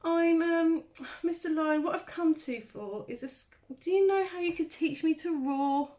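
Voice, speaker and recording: A woman voicing a tiny, meek but hopeful male mouse in a children's storybook, with a small squeaky voice and an earnest, polite manner. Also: moderate pace, darkish tone. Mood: nervous, polite. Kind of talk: children's storybook read aloud.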